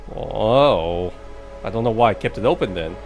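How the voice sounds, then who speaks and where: Male let's play host: lowish pitch, casual halting commentary, soft music underneath. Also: lively intonation. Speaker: game streamer. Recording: faint game music behind.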